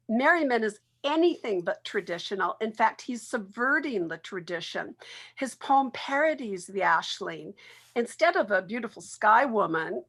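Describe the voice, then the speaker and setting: A woman's voice: medium pitch, a bit bright. A woman speaking as a literary expert in an interview, explaining her subject at length.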